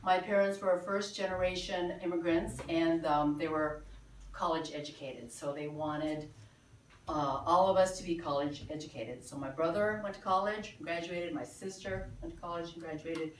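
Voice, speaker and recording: A woman in her sixties from the Philippines, giving an earnest, halting presentation to a small group with many fillers. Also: low voice, fairly even intonation, a little hiss or hum. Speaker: presenter at a sales meeting. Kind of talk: informal presentation. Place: meeting room. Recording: echoey room from far off.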